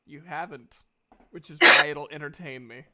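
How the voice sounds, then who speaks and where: A woman improvising casual narration as a tabletop game master, haltingly restarting her descriptions. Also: deep voice, steady tone, bright, forceful tone.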